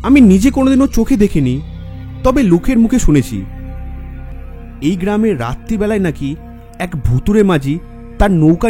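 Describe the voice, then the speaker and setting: An actor playing a caring, nagging young bridegroom friend in a horror audio drama. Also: male voice, high-pitched, warm tone. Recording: music and faint crowd behind. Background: music, faint crowd murmur.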